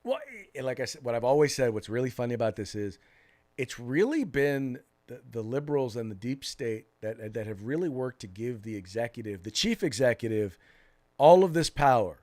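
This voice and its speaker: A man's voice: medium pitch, expressive, moderate pace, darkish tone. An American man co-hosting a political talk show, giving opinionated commentary in an emphatic way, repeating phrases for stress.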